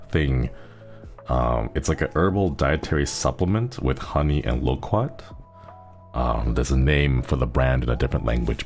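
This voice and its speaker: A man chatting casually on a live stream, his voice airy and breathy today, rambling with frequent hesitations. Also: very deep voice, a little mellow. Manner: rambling, hesitant with many fillers.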